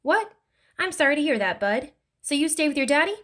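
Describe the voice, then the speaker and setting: A chatty old man from a storybook, kind and full of jokes, who rambles on in a folksy way. A woman acts him out, with his voice set fairly high. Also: big pitch swings, a little fast, fairly bright tone. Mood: teasing. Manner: joking, folksy, talkative. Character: teasing kindly older male driver.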